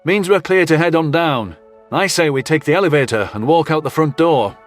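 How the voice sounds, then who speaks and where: A man playing a gruff, wary human soldier who talks in blunt slang. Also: on the high side, normal speed, close to an everyday voice. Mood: matter-of-fact. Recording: music under the voice.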